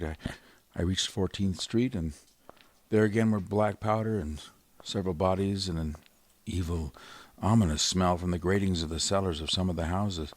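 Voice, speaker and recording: An actor in 1930s radio drama style playing a grave, weary, educated survivor, speaking and narrating in a measured, solemn way with deliberate pauses. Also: male voice, low-pitched, no room sound.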